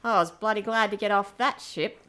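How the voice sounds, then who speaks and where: A woman's voice: medium pitch, fairly quick, fairly bright tone, clean tone, natural voice. A woman playing a brash, roguish space pirate queen in an amateur audio drama, with a brassy, casual manner and free swearing. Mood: breezy, boastful.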